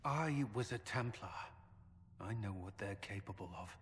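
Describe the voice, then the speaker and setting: A man's voice: fairly low pitch, normal speed, a little hiss or hum, close to an everyday voice. A man playing a blunt, skeptical, battle-weary military commander in a fantasy video game. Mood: insistent. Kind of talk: dramatic fantasy game acting. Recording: long echoing reverb.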